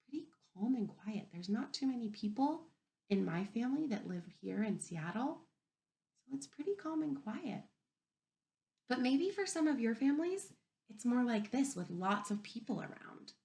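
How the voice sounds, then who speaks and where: A woman reading a picture book aloud to young children as a teacher, expressively, pausing to wonder aloud and ask them questions. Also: a little high. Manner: expressive, wondering aloud, inviting listeners to reflect.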